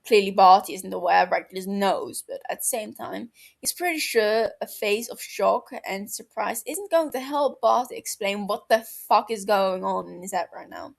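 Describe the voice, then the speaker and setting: A woman narrates a piece of fan fiction for an audiobook. Her voice sits at a middling pitch and is noticeably creaky, with fry running through the scripted reading. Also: moderate pace.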